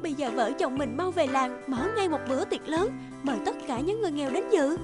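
An actress voicing a practical, eager young village wife in a fairy-tale cartoon, speaking expressively. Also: female voice, high voice, animated, a little fast. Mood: delighted. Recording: loud music under the voice.

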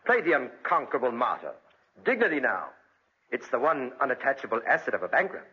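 An actor playing a worldly spendthrift gentleman in prison, breezy and sardonic, in 1940s radio drama style. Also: mid-range voice, very animated, brisk, breathy edge. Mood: brisk, advising. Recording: muffled 1940s radio recording.

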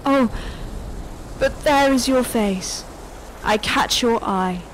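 Slow, poetic elderly woman played by an actress. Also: on the high side, a little hiss or hum, low-quality recording. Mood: reflective. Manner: poetic, declamatory, measured. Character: elderly, weary, defiant woman narrator facing death. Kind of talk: literary radio-drama monologue.

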